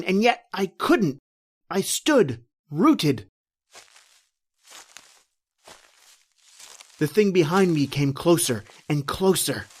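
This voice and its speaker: A woman playing a panicked, bewildered husband lost in a nightmare, with halting, theatrical delivery in an old-style horror radio drama. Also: fairly low pitch, breathy edge. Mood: horrified. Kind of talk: dramatic first-person horror radio narration and acting.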